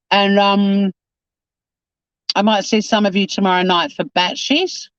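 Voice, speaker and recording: A man's voice: high voice, dry recording, lo-fi sound. A man in his fifties chatting casually on a live stream, reading out and answering viewer comments. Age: 50s. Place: home.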